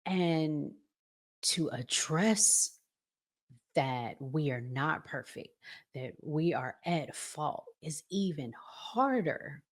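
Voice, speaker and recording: Low-pitched, slow, expressive woman host. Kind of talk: relationship commentary vlog.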